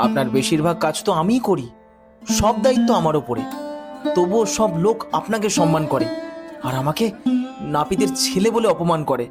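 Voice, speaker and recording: A man's voice: on the high side, lightly acted. A man voicing a cunning, boastful barber's son who poses as a priest in a cartoon moral story, theatrical and self-important. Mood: aggrieved. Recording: music bed behind the voice.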